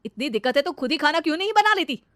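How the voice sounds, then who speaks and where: A woman voicing an exasperated young husband in a cartoon story, scolding forcefully with sarcasm. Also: high voice, very animated, rapid-fire, no echo, studio quality, voice pushed up. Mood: angry. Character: exasperated young husband standing up to his wife. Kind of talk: cartoon moral-story dialogue.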